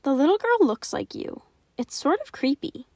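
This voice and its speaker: A woman's voice: sits high, very animated, moderate pace, mellow, recorded from a distance. A woman playing an uneasy but helpful visitor in an audio play about a haunted house, set back from the mic.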